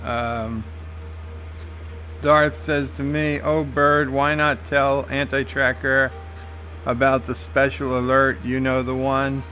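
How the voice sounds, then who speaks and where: A man talking at an unhurried, drawn-out pace as he reads his viewers' comments and replies to them. His pitch sits in an ordinary middle range, and he fills gaps with "uh". Faint background noise hums under his voice. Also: flat delivery, sharp tone. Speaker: game streamer reading live chat. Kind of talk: casual live-stream commentary.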